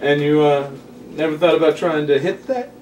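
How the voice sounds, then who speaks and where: A man playing an excitable, teasing guy gossiping with his buddy at a comedy table read. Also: a little high, moderate pace, a crowd in the background, natural voice. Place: indoor room, group table read. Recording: echoey room, distant mic, faint noise.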